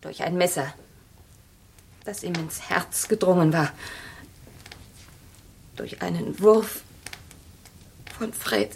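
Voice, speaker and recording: An actress playing a guarded, wistful widow and former stage assistant in a radio crime play, speaking in a measured, confessing way. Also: female voice, lowish voice, creaky, a little mellow, a little hiss or hum.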